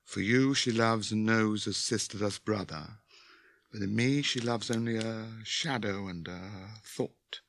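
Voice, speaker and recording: A man's voice: on the low side, gravelly, a bit bright, slight background noise. Aragorn, a grave returned king. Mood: solemn. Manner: grave, solemn, measured. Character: noble kingly male healer and returned king. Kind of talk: 1980s radio drama acting.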